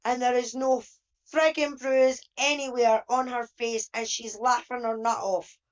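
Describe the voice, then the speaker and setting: Woman, higher-pitched voice, emphatic, indignant, opinionated discussion, dull lo-fi audio. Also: steady tone, a little slow. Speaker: discussion panelist. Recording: dull lo-fi online call.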